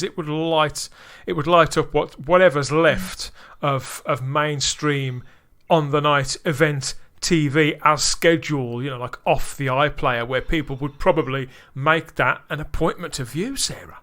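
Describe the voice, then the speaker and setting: Musing man, slightly high voice. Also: normal speed, fairly bright tone. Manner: thoughtful, thinking aloud in long musing sentences. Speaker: podcast host. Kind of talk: casual podcast discussion.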